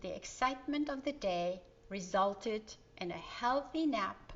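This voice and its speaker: A woman storyteller reading a children's story aloud in an expressive way, with dramatic pauses and asides to young listeners. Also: mid-range voice, highs cut off.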